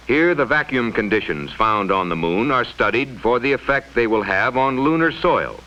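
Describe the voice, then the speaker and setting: A man reading scripted mid-century educational film narration in a formal, measured, explanatory way, on a vintage soundtrack. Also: medium pitch, pushed and bright, muffled recording.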